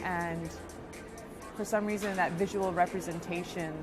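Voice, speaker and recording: A woman from the United States speaks in a low, reflective voice, choosing her words thoughtfully and pausing between short phrases. Music plays clearly beneath her throughout. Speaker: interviewee. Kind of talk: reflective personal account. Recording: music bed underneath.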